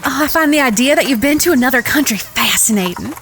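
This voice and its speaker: Female actor as genteel Old West sweetheart, politely coaxing, slightly high voice, Western radio-play style. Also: animated, fast, fairly bright tone, a little hiss or hum. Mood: curious, fond. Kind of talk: Western audio drama acting.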